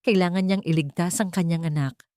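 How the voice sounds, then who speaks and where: Lady narrator doing dramatic story reading, lowish voice, very dry recording. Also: fairly quick, darkish tone, no echo.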